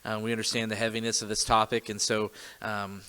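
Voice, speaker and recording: A man's voice: lowish voice, flat delivery, fairly quick, pushed and bright, clean tone. A young man from Missouri hosting a church podcast conversation, speaking hesitantly with many fillers and restarts. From Joplin, Missouri. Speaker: podcast host.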